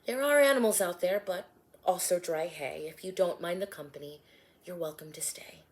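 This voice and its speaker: A woman reading the lines of a kindly male innkeeper in a rhyming storybook, in a plain, quiet, helpful tone. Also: fairly low pitch, moderate pace, natural voice. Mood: apologetic.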